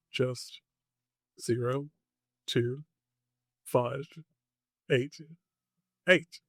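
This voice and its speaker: Male podcast host, medium pitch. Scripted suspense storytelling, deadpan wit, tight dry recording. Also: no room sound.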